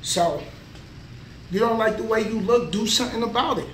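Man in his thirties, plain-spoken motivational vlogger, fairly high voice, a bit off mic. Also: moderate pace, fairly bright tone, distant mic, slight background noise. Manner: motivational, plain-spoken, talks between sets. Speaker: vlogger, trucker. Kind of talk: casual workout vlog. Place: small indoor gym. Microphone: camera mic from a few feet away. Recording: echoey room, voice a bit off mic.

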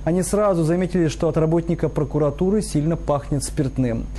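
High, mellow-voiced male news anchor. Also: a little fast, slight background noise. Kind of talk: scripted news reading.

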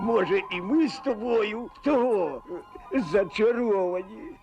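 A man playing a puzzled, slow-witted cartoon character with a drawling, bemused delivery in a puppet film. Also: sits high. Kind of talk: Soviet puppet cartoon voice acting. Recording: film soundtrack under loud music.

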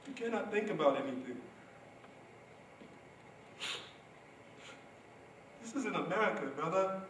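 A man's voice: sits high, animated, fast talker. A young man performing a dramatic stage monologue as an anguished, protective seventeen-year-old Darfuri refugee, impassioned and pleading. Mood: sombre, bitter. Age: young adult. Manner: impassioned, pleading, swinging between cries and hushed grief. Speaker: student performer. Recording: roomy, distant stage recording, faint noise.

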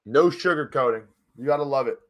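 A man's voice: medium pitch, fairly quick, fairly bright tone. A man in his twenties chatting informally to viewers as a sports livestream host, his voice wearing out. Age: 20s. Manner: informal, addresses viewers as guys. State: losing his voice. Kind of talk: casual fan livestream chat. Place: small home room, indoors. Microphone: close desk microphone.

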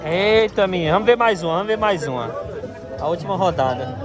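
Excited man filming at a crowded market. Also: sits high, brisk, music in the background. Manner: excited, chatty, repeats phrases. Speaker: vlogger filming a street market. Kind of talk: casual play-by-play commentary. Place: outdoor street market. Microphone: phone held by the filmer. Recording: outdoor phone recording with crowd noise. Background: crowd chatter.

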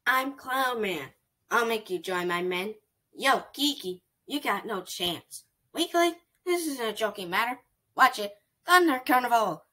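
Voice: medium pitch, expressive, measured pace, bright, forceful tone, voice pushed up. A voice actor playing a mocking male clown robot who taunts his opponent. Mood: taunting.

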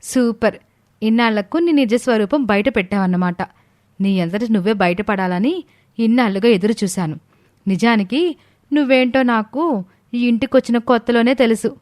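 A woman's voice: on the high side, moderate pace, darkish tone, no echo, studio quality, voice pushed up, close to an everyday voice. A woman voicing a sly younger sister-in-law in a family story who plays sweet and innocent, with a pointed, plaintive manner. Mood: smug.

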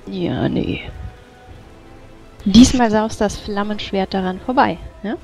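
A woman talking casually and a little haltingly as a player in a tabletop game, on a dull lo-fi recording. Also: medium pitch, a little mellow. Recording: dull, lo-fi voice chat sound. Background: background music.

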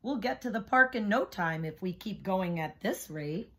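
A woman's voice: medium pitch, expressive, normal speed, a bit bright, breathy edge. A woman voicing a firm but encouraging storybook mother who gives orders and coaxes. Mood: brisk, instructive. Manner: giving orders, coaxing. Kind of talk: picture-book character reading.

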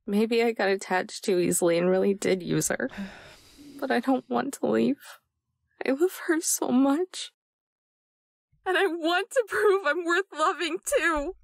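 A woman's voice: high voice, a little slow, vocal fry, no room sound, studio quality. An actress playing a heartbroken young woman in a visual novel, confiding and wistful, with raw emotion. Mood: tearful, pleading. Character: heartbroken young woman on a desert road trip. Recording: background music under voice.

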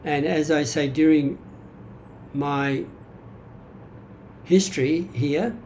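An elderly man with an Australian accent speaks in a fairly high, soft-edged mellow voice. He talks reflectively and haltingly, often correcting himself. Some background noise sits behind him in a room at home. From Greek-born, grew up in Australia. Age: 70s. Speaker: vlogger sharing personal history. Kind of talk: reflective monologue from notes. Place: small indoor room at home.

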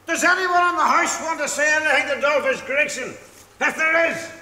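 An actor playing a drunken, boastful, preachy middle-aged husband on stage. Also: male voice, very high-pitched, normal speed, sharp tone, exaggerated voice. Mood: blustering. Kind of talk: stage play acting. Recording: echoey hall, far from mic.

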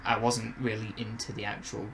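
A man's voice: on the low side, flat delivery, normal speed, a bit bright. Hesitant young man, faint background noise. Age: young adult. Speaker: podcast reviewer and co-host. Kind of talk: casual review chat. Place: bedroom, indoors. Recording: noisy home room recording.

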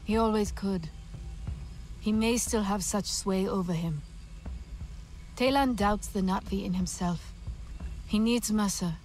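An actress playing a wary, grave alien clanswoman in a video game, measured and solemn. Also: medium pitch, darkish tone, faint music behind, lightly acted. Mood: skeptical.

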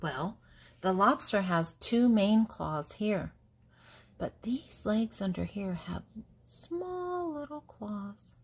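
An older woman reading a picture book aloud to young children in a deliberate, teacherly way, posing questions and answering them, with pauses between words. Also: mid-range voice, lively intonation, breathy edge.

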